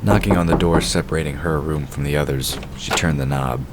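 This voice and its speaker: A man's voice: extremely low pitch, a little fast. A man reading scripted fantasy story narration for an audio drama. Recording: noisy hobby recording.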